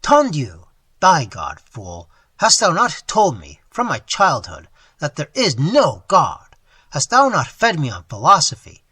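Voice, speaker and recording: A man voices a bitter young robber, cold and sneering, his voice harsh and rising hard on each taunt. The voice is bright and slightly husky, heard dry with no room around it. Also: on the high side, wildly swooping pitch, unhurried, dry recording, exaggerated voice. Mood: bitter scornful.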